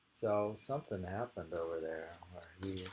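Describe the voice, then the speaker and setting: A man casually reading poetry and talking it over in a halting, musing way. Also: sits low, noisy background. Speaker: reader and host of poetry readings.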